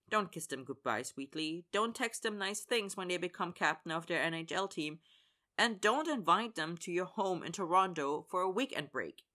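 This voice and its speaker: A man voicing an earnest, polite young male hockey player who talks in halting bursts. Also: mid-range voice, pushed and bright.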